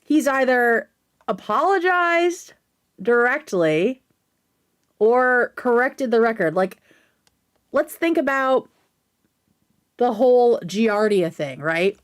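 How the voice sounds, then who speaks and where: A woman's voice: a little high, animated, slow, pauses often, clean tone. A woman hosting a casual livestream commentary, speaking in a sarcastic, emphatic way with plenty of slang. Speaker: livestream host.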